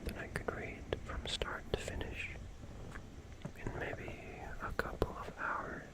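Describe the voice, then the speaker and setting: Slow, breathy ASMR whisperer. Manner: soothing, slow, repeats words softly. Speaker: ASMR host. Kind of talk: whispered ASMR bedtime talk.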